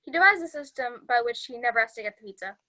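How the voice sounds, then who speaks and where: A woman plays a clueless but analytical young sitcom man. The voice is somewhat high and very bright. The lines come out as earnest, matter-of-fact little speeches. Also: expressive, quick pace. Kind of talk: sitcom script table read.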